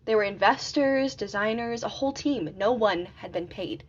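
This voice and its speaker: A woman playing an upset, incredulous woman in an amateur radio play, with a husky voice and muffled sound. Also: mid-range voice, normal speed, close to an everyday voice. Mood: dismayed.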